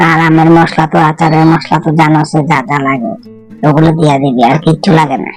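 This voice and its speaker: A woman's voice: sits low, little pitch movement, fairly quick, a little mellow, soft background music, natural voice. A woman voicing a chatty village friend in a cartoon, talking familiarly in a colloquial eastern Bengali dialect. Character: chatty village women friends swapping home recipes.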